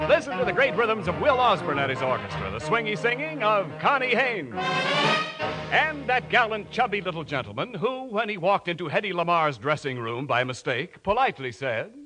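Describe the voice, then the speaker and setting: A man's voice: sits high, very animated, moderate pace, low-quality recording. An American man announcing a sponsored 1940s radio show in a punchy, emphatic salesman delivery. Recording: vintage radio broadcast over music.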